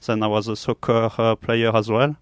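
A young man from Switzerland, answering casually in halting English. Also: on the low side, little pitch movement, clear, resonant tone, no echo. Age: young adult. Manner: halting non-native English. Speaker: student athlete, interview guest.

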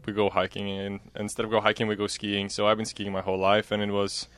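Low, even-toned young Norwegian man. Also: fast, clear, resonant tone, dry recording. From Norway. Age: young adult. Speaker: student athlete, interview guest. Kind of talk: casual interview answers.

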